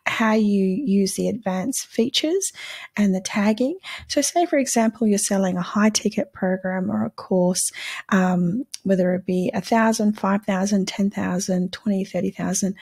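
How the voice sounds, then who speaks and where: A woman hosting her own channel, giving a casual product review with a croaky voice, chatty and earnest, explaining step by step. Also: mid-range voice, a little mellow. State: croaky voice today. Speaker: channel host.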